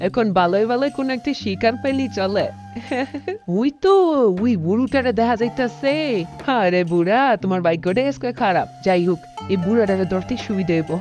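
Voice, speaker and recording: A woman's voice: medium pitch, fairly quick, close to an everyday voice. A woman voicing a power-hungry, scheming old woman in a comic cartoon, theatrical and cackling, with a Bangladeshi accent. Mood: gloating, scheming. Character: scheming, power-hungry old woman, ousted ruler turned ghoulish hunter. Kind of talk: exaggerated comic cartoon voice acting. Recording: loud music under the voice.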